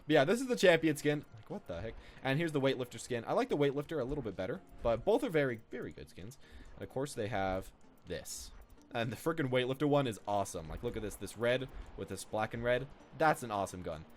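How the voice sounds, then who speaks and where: A man's voice: medium pitch, sing-song, fast. A young man giving casual, rambling game commentary as a video creator. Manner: rambling, informal.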